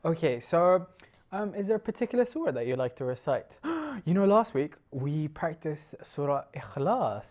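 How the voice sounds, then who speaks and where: Encouraging woman with a lively, low voice. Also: moderate pace, dull audio. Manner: warm, encouraging, patient. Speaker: children's TV presenter. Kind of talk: children's TV presenting.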